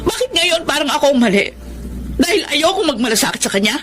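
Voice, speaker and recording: A woman's voice: sits high, big pitch swings, fast talker. An actress in a Filipino radio drama playing a bitter, resentful eldest daughter who raised her siblings. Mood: anguished, furious. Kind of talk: Filipino radio drama acting with first-person narration. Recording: loud music bed under the voice. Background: dramatic music.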